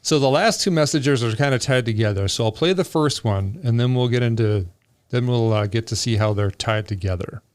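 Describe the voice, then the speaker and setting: A man's voice: medium pitch, a little fast, no echo. A middle-aged man hosting a podcast, reminiscing in casual chat. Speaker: podcast host.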